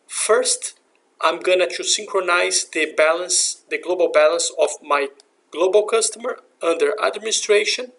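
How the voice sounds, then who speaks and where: Higher-voiced man with some creak, explaining software. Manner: methodical step-by-step explaining. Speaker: software instructor. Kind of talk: screencast tutorial narration. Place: voice-over for a screen recording.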